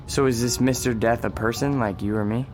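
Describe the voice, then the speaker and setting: A gentle young man played by an actor in a radio drama, with a medium-pitched voice. He is earnest and puzzled, stammering as he tries to reassure. Faint music sits behind him. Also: steady tone, fairly quick, lightly acted. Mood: earnest, probing. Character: gentle, puzzled man coaxing a frightened woman.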